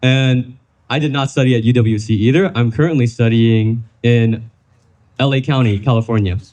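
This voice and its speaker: A young man from Vietnam presents to a live audience at a workshop. His voice sits at an ordinary middle pitch. He is chatty and keeps coaxing the listeners to make a guess. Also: steady tone, moderate pace, darkish tone. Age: young adult. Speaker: workshop speaker.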